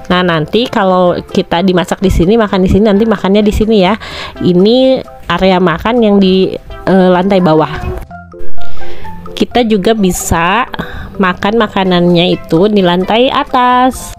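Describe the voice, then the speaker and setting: Cheerful female vlogger, 30s, chatty phone-filmed tour narration, soft music bed. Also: mid-range voice. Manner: chatty, cheerful, excited cheers when fish are caught. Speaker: family vlogger. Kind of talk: casual tour narration to viewers. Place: outdoor fishing pond cafe.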